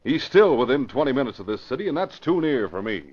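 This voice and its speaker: A man's voice: medium pitch, very animated, quick pace, husky. A man playing a troubled, stern police commissioner, grave and emphatic, in an old-time radio drama. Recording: dull old radio recording.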